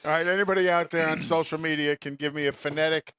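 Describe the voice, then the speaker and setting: A man's voice: on the high side, steady tone, fast, a bit bright, clean tone. An American man co-hosting a radio show in casual, bantering conversation.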